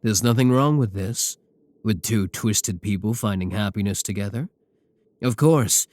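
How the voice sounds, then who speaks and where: Man acting a slow-talking, menacing, possessive werewolf professor. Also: lowish voice, no room sound. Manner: slow, deliberate, soft chuckles, pet names. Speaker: voice actor in a roleplay audio. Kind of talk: intimate ASMR roleplay monologue.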